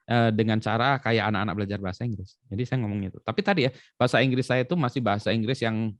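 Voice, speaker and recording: A middle-aged man from Indonesia, an expert guest explaining in an animated way with lots of examples and fillers. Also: on the low side, rapid-fire, dry recording, studio quality. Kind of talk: conversational interview explanation.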